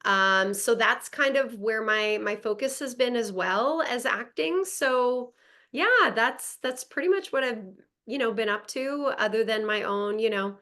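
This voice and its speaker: A woman's voice: a little high, measured pace, sharp tone. A woman from Montreal whose first language is French, chatting upbeat as an actress guest on a video call, laughing often. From Montreal, Canada. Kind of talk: casual interview chat. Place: home in Los Angeles.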